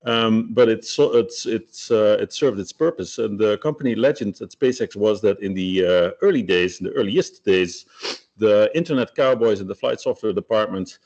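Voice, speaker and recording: A man's voice: fairly low pitch, darkish tone. Man presenting over a muffled call. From Netherlands. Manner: measured, many hesitations and fillers. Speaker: company founder giving a technical webinar. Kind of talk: technical presentation. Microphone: video call. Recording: muffled lo-fi video-call audio.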